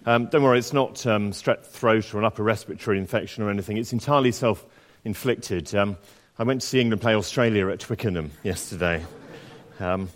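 A man preaching a sermon and reading scripture aloud, with a slightly croaky voice and a conversational, self-deprecating manner full of fillers and restarts. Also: on the low side, fairly quick. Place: church. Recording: long church reverb.

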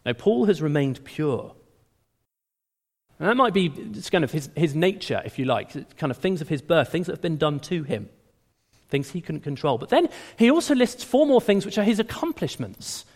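Animated preacher in echoing hall. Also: male voice, fairly high pitch, normal speed. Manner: animated, conversational, frequent restarts. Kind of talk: sermon. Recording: long hall reverb.

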